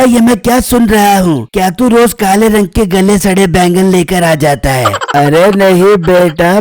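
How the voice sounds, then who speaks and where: High-pitched comic cartoon village man. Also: darkish tone, no room sound. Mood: mild, drawling. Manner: exaggerated, bickering. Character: comic village men, grumbling idle son and his slow elderly father.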